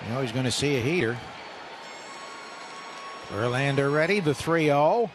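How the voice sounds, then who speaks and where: A man doing radio play-by-play of a baseball game, rising sharply in pitch when calling live action. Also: expressive, moderate pace. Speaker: play-by-play announcer. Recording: radio broadcast with loud ballpark noise.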